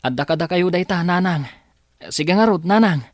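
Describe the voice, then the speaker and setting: A man's voice: sits high, moderate pace, lightly acted. A man acting an earnest, respectful young son in an Ilocano radio drama. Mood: surprised, dutiful. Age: young adult.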